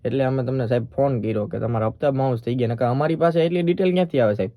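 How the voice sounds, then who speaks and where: A man's voice: medium pitch, very fast, no echo, highs cut off. A man with a Saurashtra Gujarati accent making a prank call as a polite, persistent bank employee. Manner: polite, persistent, official-sounding. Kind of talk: prank phone call in casual dialect.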